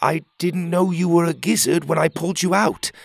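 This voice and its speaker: A man voicing a stubborn, suspicious young male hero in a storybook, speaking bluntly and a bit higher than his own voice. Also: normal speed, creaky, dry recording. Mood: wary.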